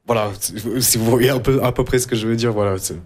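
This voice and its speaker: A young man from the United States, a drummer being interviewed, talking hesitantly with many pauses and restarts. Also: mid-range voice, fairly even intonation, a little mellow. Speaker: drummer, guest. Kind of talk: radio interview.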